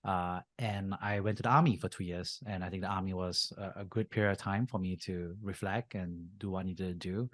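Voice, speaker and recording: A man's voice: deep voice, steady tone, brisk, hardly any pauses, dry recording. A man in his thirties from Singapore, a venture capitalist telling his story as a podcast guest, with frequent fillers. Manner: frequent uh and you know fillers, easygoing. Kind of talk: casual interview storytelling.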